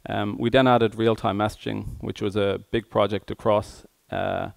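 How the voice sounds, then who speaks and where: Low-pitched, flat-toned man with a gravelly creak. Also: moderate pace, no room sound. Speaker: conference speaker, software engineer. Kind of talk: tech conference presentation.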